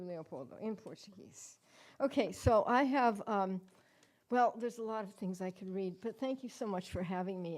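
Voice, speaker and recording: Chatty woman with a fairly high voice. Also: a little mellow. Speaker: featured poet. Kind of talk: casual spoken thanks before a reading. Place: live evening poetry reading.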